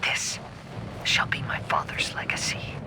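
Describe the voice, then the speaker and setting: An actor playing a gentle, earnest young samurai heir in a video game, soft-spoken and poetic in manner. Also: male voice, measured pace, very noisy, natural voice. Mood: quiet melancholy. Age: young adult. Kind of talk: dramatic video game dialogue.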